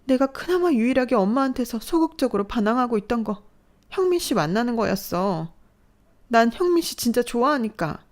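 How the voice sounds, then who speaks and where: A woman's voice: fairly high pitch, big pitch swings, a little fast, voice pushed up. A woman voicing a fragile young adult daughter who speaks in a trembling, pleading, emotional way. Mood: upset.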